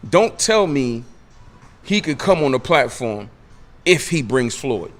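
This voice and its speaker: A man's voice: medium pitch, very animated, takes it slowly, faint music behind. A man in his late forties, a radio interview guest, talking emphatically in street slang and hammering his points with repetition. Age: 40s. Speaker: podcast guest.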